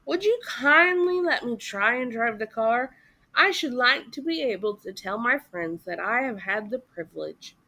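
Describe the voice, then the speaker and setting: A woman's voice: on the high side, lively intonation, a little slow, sharp tone, exaggerated voice, rough recording. A woman voicing a boastful, reckless male toad from a storybook, with a dramatic, exclamatory delivery. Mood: polite, pleading.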